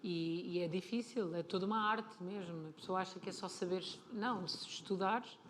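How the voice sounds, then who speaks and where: A woman's voice: fairly low pitch, normal speed. A woman with a European Portuguese accent, speaking casually and explaining things as a guest in a live stage conversation. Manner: chatty, explanatory, informal. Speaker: interview guest, film and television producer. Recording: clear ambient noise of busy convention.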